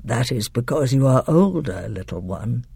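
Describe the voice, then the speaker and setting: A woman voicing a huge, wise, gentle male lion, speaking low, slow and hushed. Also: expressive, creaky, husky, no echo, clean studio sound, exaggerated voice. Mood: grave gentle.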